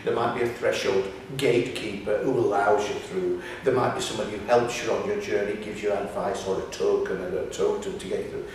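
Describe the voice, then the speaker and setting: An older man chatting casually in an interview, halting and repeating words as he tells anecdotes. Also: fairly low pitch, steady tone, a little mellow. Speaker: pantomime writer and actor. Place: small indoor room, seated on sofa. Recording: echoey room, distant mic, faint noise.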